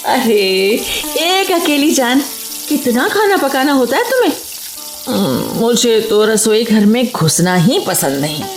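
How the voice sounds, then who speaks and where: An actress playing a talkative, friendly, lonely housewife in an expressive, coaxing manner in a Hindi radio play. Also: female voice, a little high, measured pace. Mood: chatty, plaintive. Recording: roomy studio radio play with music.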